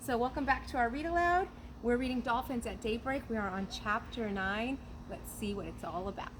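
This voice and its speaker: A woman reading a children's story aloud outdoors in an animated teacher's way. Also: a little high, brisk. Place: outdoors on a trampoline. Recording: background noise, outdoor ambience.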